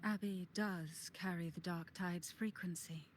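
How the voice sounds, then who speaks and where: An actress playing a composed, resolute noblewoman in a game cutscene, speaking in a measured, firm and confident way. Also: lowish voice, fairly even intonation, noisy background, natural voice. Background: game music.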